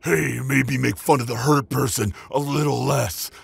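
A man playing a wounded, stubborn dragon-shifter henchman in an audio roleplay, gravelly, strained and cheekily sarcastic. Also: mid-range voice, fairly even intonation, unhurried, no echo, heavily acted. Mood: sarcastic, weary.

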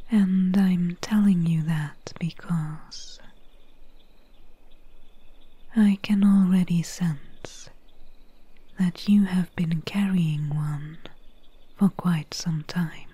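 A woman voicing a calm, mysterious swamp witch healer in a whispery, soft-spoken ASMR roleplay, close to the mic. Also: fairly low pitch, dry recording, heavily acted. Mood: calm, inviting. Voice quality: whispery, breathy. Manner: slow, hushed, unhurried.

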